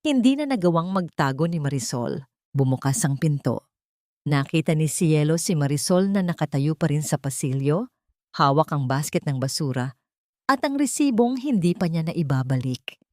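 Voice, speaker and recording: A woman's voice: low voice, very animated, normal speed, a little mellow, no room sound, clean studio sound. A woman narrator reading a scripted story in a dramatic style.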